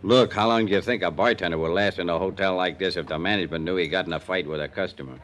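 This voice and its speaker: Streetwise bartender, very deep voice, tough clipped talk, vintage radio play. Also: male voice, quick pace, fairly bright tone. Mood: defensive. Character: wary male hotel bartender, defensive, streetwise. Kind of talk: 1950s radio drama acting. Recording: old radio broadcast with music.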